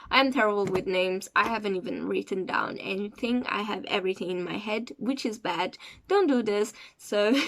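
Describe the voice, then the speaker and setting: Woman, talks slow, stops a lot to find her words, chatty review vlog. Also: medium pitch, slight background noise. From Cape Verde. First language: Portuguese. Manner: hesitant, searching for words as she goes. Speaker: series reviewer.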